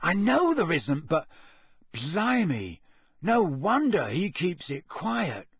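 Guy doing a blurty teen lad, storybook sidekick, higher voice, drags his words out, super dry sound. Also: wildly swooping pitch, takes it slowly, husky, no echo, exaggerated voice. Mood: uneasy, defensive. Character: blunt, easily flustered teenage boy, loyal sidekick.

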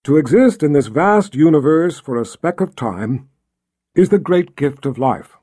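A man's voice: fairly high pitch, lively intonation, a little slow, mellow. A man voicing a proud, solemn lord in an audiobook, speaking in a ceremonial, emphatic, oratorical way as he officiates a wedding. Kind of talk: audiobook character acting.

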